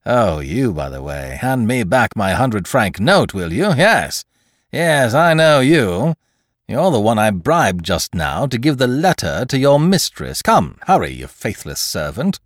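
A man doing a suave, mocking gentleman thief. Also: mid-range voice, huge pitch swings, unhurried, creaky, dry recording, clean studio sound, exaggerated voice. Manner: jaunty, mocking, grandly courteous.

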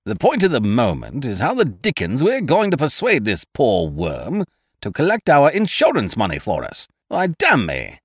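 A man's voice: mid-range voice, wildly swooping pitch, moderate pace, husky, no echo, clean studio sound, pitched above the performer's own voice. A man voicing a boisterous, pushy young schemer who talks others into his plans with hearty bluster. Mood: coaxing. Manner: blustering, persuasive, calls others laddie and old horses.

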